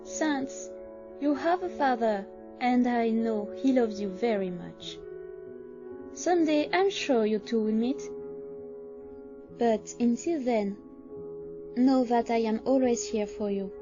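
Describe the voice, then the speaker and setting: A woman voicing a gentle, doting skeleton monster mother, tender and reassuring, in an animated comic dub. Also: a little high, slow, long pauses. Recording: muffled audio with music underneath.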